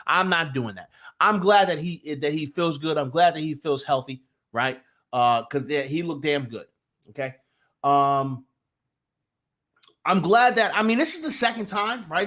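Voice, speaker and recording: A man's voice: on the high side, lively intonation, moderate pace, a bit bright. A young man from New Jersey with a Jersey accent, hosting a casual live sports talk stream. He talks loosely and profanely, restarting sentences and greeting his chat.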